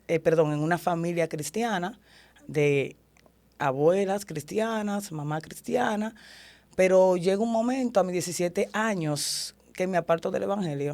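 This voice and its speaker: A woman's voice: lowish voice, a little mellow. A woman with a Dominican accent, a prophet and ordained minister, earnestly telling her life story as a guest in an interview. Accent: Dominican Spanish. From Dominican Republic. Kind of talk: testimony in an interview.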